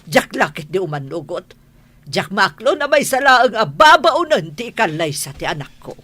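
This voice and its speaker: A woman's voice: mid-range voice, very animated, normal speed, a bit bright, no room sound. A woman playing a stern, suspicious, haughty mother who disdains housemaids in a radio drama. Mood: indignant, threatening. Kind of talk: Ilocano radio drama acting.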